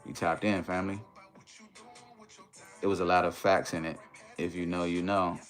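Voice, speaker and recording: A man's voice: low voice, little pitch movement, moderate pace, soft background music. An American man from the Maryland and Washington DC area hosting a casual livestream, chatting to viewers in slangy, conversational phrases. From United States, Maryland and Washington DC area. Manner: conversational, uses slang and tag phrases like "you know".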